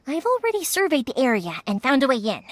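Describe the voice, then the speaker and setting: High, breezy, cheerful young shopkeeper. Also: female voice, wildly swooping pitch, fast talker, no room sound, heavily acted. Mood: eager, plucky. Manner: breezy, colloquial, giggly. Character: cheerful young female fireworks shop owner, eager and friendly. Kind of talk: animated video game voice acting.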